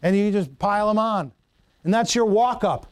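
A man's voice: high-pitched, moderate pace, clean tone, dry recording, studio quality. Folksy male pastor preaching. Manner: conversational, folksy, stumbles over Greek words. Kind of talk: sermon.

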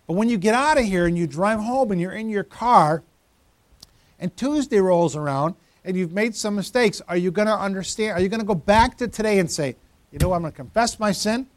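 A man's voice: high voice, lively intonation, fairly quick, no room sound. A pastor in his fifties giving a conversational sermon, teasing members of the congregation by name. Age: 50s. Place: church.

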